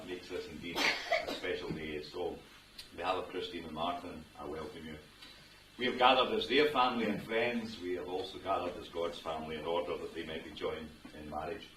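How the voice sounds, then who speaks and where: A jovial middle-aged Scottish minister leads a wedding, chatting and joking with the guests. He is caught from some way off, and his voice rings around a roomy venue over steady background noise. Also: low-pitched, recorded from a distance. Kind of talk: officiating a wedding ceremony.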